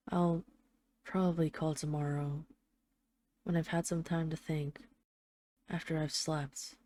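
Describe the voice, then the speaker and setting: A woman's voice: deep voice, mellow. A woman playing a tough but tender, jealous mafia boss, speaking intimately to an absent partner in a scripted audio roleplay voicemail. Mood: subdued, regretful. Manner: intimate, halting, sweary, addressing an absent lover.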